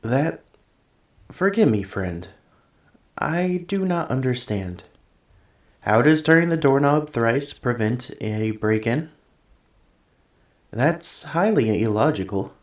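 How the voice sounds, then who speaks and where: A man's voice: mid-range voice, animated, slow, long pauses, roomy sound. A man playing a polite, earnest android assistant in an ASMR roleplay, speaking formally and precisely through a robotic voice effect. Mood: thoughtful, puzzled. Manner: formal, precise, literal-minded phrasing. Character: polite, earnest male android tenant assistant, gentle and curious. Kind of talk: ASMR roleplay, scripted one-sided conversation.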